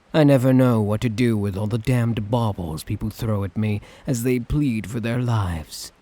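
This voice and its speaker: Husky, slow-talking suave tyrant, acted by a man. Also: lowish voice, mellow. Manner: teasing, theatrical, condescendingly affectionate. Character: suave, sadistic, doting male tyrant, obsessively in love. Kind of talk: ASMR roleplay acting.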